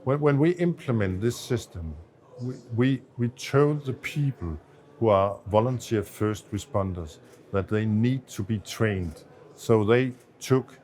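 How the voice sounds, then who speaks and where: Low-voiced Danish man explaining. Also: darkish tone. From Denmark. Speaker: associate professor of medicine, expert interviewee. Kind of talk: explanatory interview answers on a medical project.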